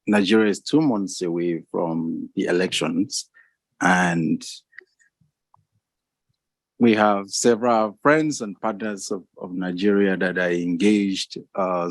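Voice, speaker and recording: Casting: man from Cameroon, very deep and gravelly, panelist asking questions, dry sound. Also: no room sound.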